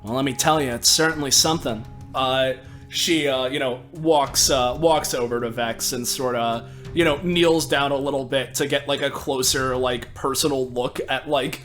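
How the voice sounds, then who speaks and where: A man's voice: medium pitch, steady tone, moderate pace, hardly any pauses, fairly bright tone, faint music behind. A man playing a tabletop game, mixing loose, conversational narration with casual in-character lines.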